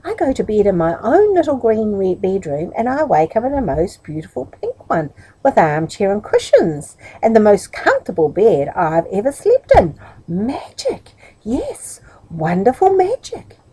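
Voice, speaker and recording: A silly, fussy little man who gets cross and demanding, as a woman reads him. His voice is pitched above her own and breathy, with big swoops in the melody. It belongs to a children's storybook. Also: huge pitch swings, unhurried, warm tone, heavily acted, rough recording. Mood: delighted.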